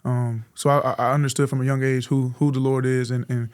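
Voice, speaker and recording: Quick, flat-voiced young man from Florida. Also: mid-range voice, smooth. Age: 20s. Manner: soft-spoken, polite, answers with "yes, sir". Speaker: college football player, guest. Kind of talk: casual interview answers.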